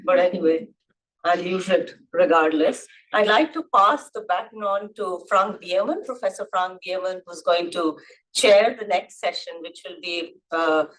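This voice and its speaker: A woman's voice: on the low side, unhurried, rough recording. A woman from India hosting a conference session, handing over to the next chair in a chatty way. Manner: self-described loud voice, chatty. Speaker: session host. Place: conference hall.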